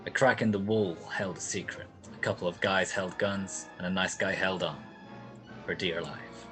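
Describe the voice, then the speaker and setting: Man acting a low-voiced, wry hard-boiled detective. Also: fairly even intonation, moderate pace, over loud music, close to an everyday voice. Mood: wry narration. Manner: sardonic, wisecracking. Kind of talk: 1940s radio detective acting.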